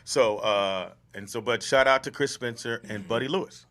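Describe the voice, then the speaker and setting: A man co-hosting a comedy talk show, brash and joking, swearing freely and bursting into shouts. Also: mid-range voice, moderate pace. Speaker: comedian co-host. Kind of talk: loud joking banter.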